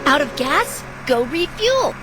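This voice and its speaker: A woman voicing a small, sweet, excitable cartoon character for a children's game, in a childlike, exclamatory manner. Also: high-pitched, slow pace, loud music behind the voice, exaggerated voice, rough recording. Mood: instructive.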